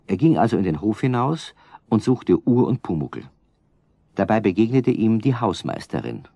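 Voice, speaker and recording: A calm male storyteller with a lowish voice, speaking straight to the listeners. The sound is dry and close to the mic. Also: normal speed, vocal fry, breathy edge, no echo. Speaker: narrator. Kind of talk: scripted storybook narration.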